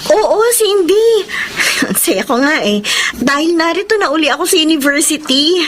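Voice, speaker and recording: A woman's voice: high-pitched, animated, moderate pace, a bit bright, slight background noise. A Filipino woman playing a giddy, boy-crazy young mother in a radio drama, girlish, gushing and playful. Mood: elated. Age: young adult.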